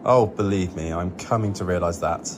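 A man's voice: low voice, moderate pace, lightly acted. A man playing an exasperated, flustered boyfriend in an ASMR audio drama, weary and pleading with his sweetheart. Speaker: voice actor in an audio roleplay. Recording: clear cabin noise behind the voice. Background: vehicle and cabin ambience.

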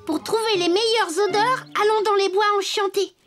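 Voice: extremely high pitch, fairly even intonation, normal speed. An actor playing a cheerful, polite little wooden puppet boy in a French children's cartoon, encouraging in manner. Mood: eager, friendly. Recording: music under the voice.

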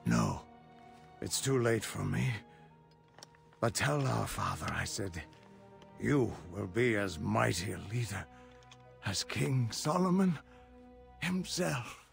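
An actor playing a dying, remorseful royal warrior in a video game, weak, strained and gravelly. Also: male voice, on the low side, sing-song, slow pace, long pauses, faint music behind, heavily acted. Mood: weak, proud. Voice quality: gravelly, croaking. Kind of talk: dramatic video game acting.

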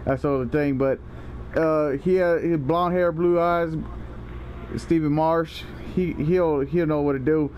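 A young man with a slightly high voice rambles through a prank phone call in casual slang. He stammers and swears as he goes, with faint noise behind him. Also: fairly even intonation, measured pace. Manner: rambling, foul-mouthed, stammering. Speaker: prank caller and video host.

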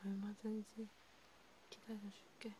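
A gentle young vampire counselor played by a woman speaks in a mellow, half-whispered ASMR voice. The delivery is slow, halting and patient, meant to reassure. There is clear noise behind the voice. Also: medium pitch, completely flat delivery, lightly acted. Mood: patient, soothing. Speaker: amateur ASMR creator. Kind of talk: soft-spoken, half-whispered ASMR roleplay.

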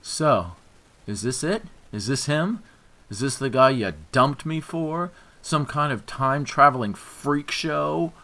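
A man's voice: mid-range voice, lively intonation, takes it slowly, sharp tone, voice pushed up, exaggerated voice. A man voicing a drunk, jealous ex-boyfriend who snarls and taunts. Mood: jeering. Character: drunk, jealous, sneering young male ex-boyfriend.

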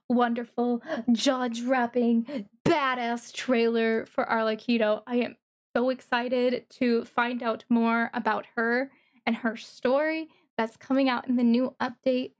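A woman streamer giving awestruck reaction commentary on a game trailer, gushing and pausing mid-phrase as she thinks. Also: a little high.

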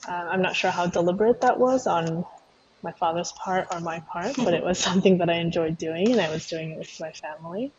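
A woman speaking as a guest on a video call, thoughtful and hesitant, pausing often with ums as she explains. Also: fairly low pitch, a crowd in the background. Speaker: guest, taiko performer. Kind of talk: casual interview talk. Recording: muffled video-call audio, faint noise.

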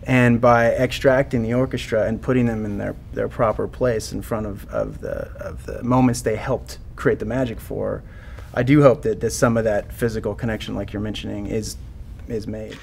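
A man in his thirties giving measured, reflective answers in a panel interview. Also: lowish voice, gravelly, a little mellow, slight background noise. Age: 30s. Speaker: interview panelist, concert producer. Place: indoor studio set.

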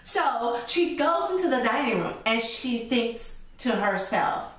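Expressive woman storyteller in an echoey room. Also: fairly high pitch, slow. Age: middle-aged. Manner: expressive, addressing children directly. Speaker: storyteller and children's show host. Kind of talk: children's story reading with asides. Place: indoor room with chalkboard backdrop. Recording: echoey room from a distance, faint noise.

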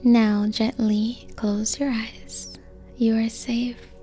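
Very slow, breathy woman guiding meditation. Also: on the high side, soft background music. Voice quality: soft, breathy, near-whispered. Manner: calm, gentle, long pauses between short phrases. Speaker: yoga nidra meditation guide. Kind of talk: slow guided sleep meditation.

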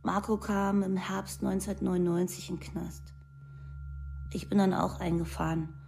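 A woman's voice: lowish voice, little pitch movement, normal speed, vocal fry, a little mellow, slight background noise. An actress playing a young far-right ex-convict woman, talking plainly in clipped slang in a documentary radio play. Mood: blunt, matter-of-fact. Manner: plain colloquial slangy telling in clipped sentences.

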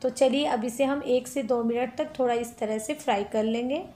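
A woman's voice: on the high side, normal speed, warm tone. A woman hosting a cooking channel, chatting step by step through a recipe in a home kitchen and calling her viewers friends.

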